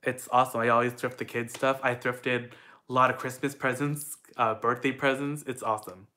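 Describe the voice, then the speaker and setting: Upbeat young guy from the philippines, bright clear voice, rambling q&a at home. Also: mid-range voice, flat delivery, normal speed. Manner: chatty, upbeat, lots of fillers. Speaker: vlogger answering viewer questions. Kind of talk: casual Q&A chat.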